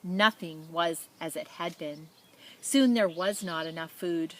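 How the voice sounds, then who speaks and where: A woman reading a children's picture book aloud in a measured, expressive storytelling manner, with spoken asides. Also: lowish voice. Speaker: storybook reader.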